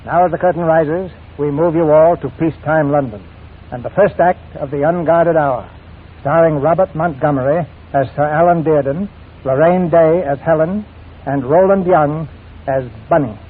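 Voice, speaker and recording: A male radio theater host from the 1940s. His voice is fairly high for a man and husky, with a formal, measured showman's delivery. The sound is tinny and narrow, like an old broadcast. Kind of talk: 1940s radio scripted presentation.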